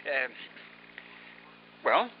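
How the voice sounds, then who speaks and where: An actor playing a timid, henpecked nephew in a 1950s radio drama, hesitant, flustered and placating. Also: male voice, extremely slow. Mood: nervous, placating. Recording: dull, clearly noisy vintage radio recording.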